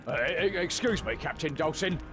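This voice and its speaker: A man's voice: on the high side, expressive, moderate pace, over music, lightly acted. A man voicing a nervous, apologetic guard in a video game, deferential to his captain and prone to stammering. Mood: flustered.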